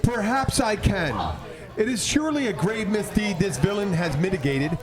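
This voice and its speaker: A man playing a gallant, pompous gentleman detective in an amateur comic radio play, with flowery archaic speech. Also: high-pitched, very animated, normal speed, crowd noise. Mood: pompous, courtly. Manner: pompous, archaic, put-on formal accent.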